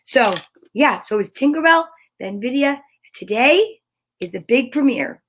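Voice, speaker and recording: A woman hosting a children's story time, reading picture books aloud with expressive exclamations and chatty, self-interrupting asides to viewers. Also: sits high, measured pace. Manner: expressive, gushing exclamations and gasps.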